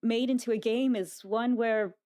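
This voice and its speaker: A woman interviewer chatting casually, with an informal, slightly halting way of talking. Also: on the high side, fairly even intonation, mellow, dry recording, studio quality. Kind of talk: casual chat.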